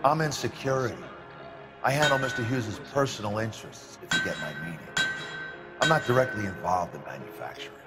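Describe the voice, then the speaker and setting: A man's voice: on the low side, expressive, brisk, over loud music, close to an everyday voice. A man playing a brash, slippery head of security in a video game, talking in a cocky, slangy way. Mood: cocky, evasive. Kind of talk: video game cutscene acting.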